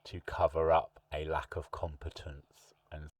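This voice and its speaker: A man's voice: rumbling low, little pitch movement, measured pace, slightly rough, no room sound. A middle-aged man hosting a podcast, talking in a thoughtful, musing way with long rambling sentences. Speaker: podcast host. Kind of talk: reflective interview chat. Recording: distant mic, dry sound.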